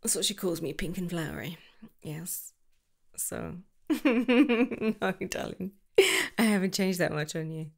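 Young woman, slow and soft, gravelly fry, close mic, affectionate whispers. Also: fairly low pitch, lively intonation. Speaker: ASMR roleplay creator. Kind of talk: girlfriend roleplay, speaking to the listener.

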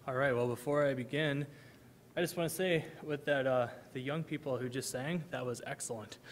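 A man's voice: mid-range voice, brisk, slight background noise. A man in his thirties, a missionary giving a church presentation from the pulpit, earnest with many ums and uhs. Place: large church sanctuary, at a pulpit. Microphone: pulpit microphone, far camera. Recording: long reverb of a church hall.

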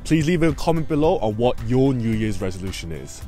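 A man's voice: mid-range voice, very animated, brisk, mellow, soft background music. A young man from South Korea asking passers-by questions outdoors in a park, holding a handheld mic. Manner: upbeat, brief prompts. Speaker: street interviewer. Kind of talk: street interview. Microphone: handheld furry mic.

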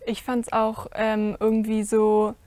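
A teenage girl speaks earnestly on a studio panel, searching for words with many fillers. Her voice is fairly high yet flat in melody. The sound is dry and close. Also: takes it slowly, dry recording. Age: teen. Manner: earnest, filler-heavy, thoughtful. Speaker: youth panelist. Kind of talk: panel discussion. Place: indoor studio set with curtains.

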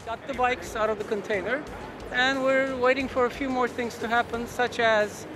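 A man's voice: extremely high pitch, fairly even intonation, normal speed, over music. A man explaining a customs process in a documentary interview, outdoors at a port yard, with some "um" fillers.

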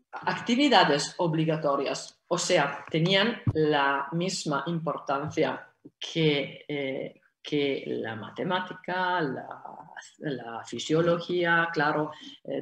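Italian lady in her 40s talking slow with lots of ums, echoey video call sound. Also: low-pitched. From Italy. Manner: slow, halting, many fillers and restarts, gestures with hands. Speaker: guest speaker. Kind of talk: online conference Q&A. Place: indoor room at home.

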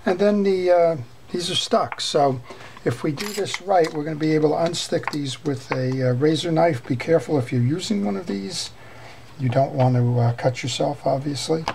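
A man's voice: on the high side, normal speed, gravelly, a little mellow, a little hiss or hum. A man giving a hands-on tutorial at a workshop bench as he repairs a fishing reel, talking unhurriedly with many fillers and restarts. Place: workbench in a workshop.

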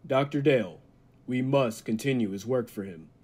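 An actor playing a grave, calculating laboratory assistant in an old-time radio drama, speaking formally with a husky voice. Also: male voice, medium pitch, moderate pace, mellow, close to an everyday voice. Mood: grave, persuasive.

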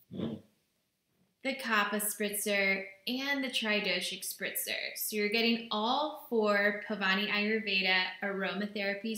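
A woman in her thirties talks to a camera from a small bare room, her tone bright and clear. She speaks slowly and gently, with easy enthusiasm. The room gives her voice a noticeable echo. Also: mid-range voice. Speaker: brand representative presenting products. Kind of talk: product presentation to camera. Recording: echoey room.

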